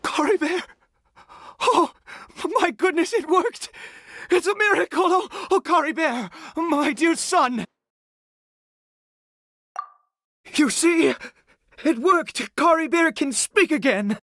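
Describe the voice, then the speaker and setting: An actor playing an anguished, fanatically devoted father in a video game, with a halting, stammering, theatrical delivery. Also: very high voice, lively intonation, a bit bright, heavily acted. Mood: overjoyed, frantic. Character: anguished, fanatically devoted middle-aged father, unhinged with hope. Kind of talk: dramatic video game voice acting.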